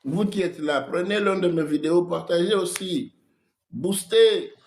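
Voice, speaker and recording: A man's voice: sits high, a little slow. A man with a Congolese French accent, a pastor with a cold, greeting viewers by name on a livestream before his sermon. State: has a cold, coughing.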